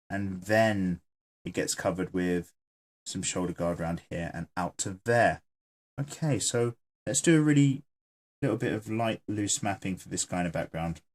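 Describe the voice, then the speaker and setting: A man's voice: fairly low pitch, darkish tone. A man hosting his own channel, chatting casually and joking while he sketches and reads viewer comments aloud. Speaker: artist and channel host.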